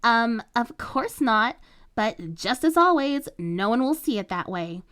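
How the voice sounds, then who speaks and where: A woman's voice: expressive, measured pace, a bit bright, clear, resonant tone. A woman putting on a whiny spoiled rich girl. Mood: sulky. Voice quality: high and whiny. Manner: sneering, self-pitying. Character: spoiled rich teenage girl, bratty and scheming.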